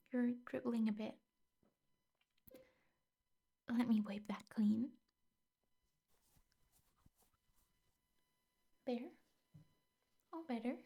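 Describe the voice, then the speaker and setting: Woman performing as obsessive yandere girlfriend, ASMR roleplay, soft mellow voice, frequent whispers, unhurried, doting yet menacing. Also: on the high side. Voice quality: soft, breathy, often whispering. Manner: slow, coaxing, doting with menace underneath. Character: possessive, sweetly obsessive yandere teacher girlfriend holding a captive. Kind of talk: ASMR roleplay, intimate scripted monologue.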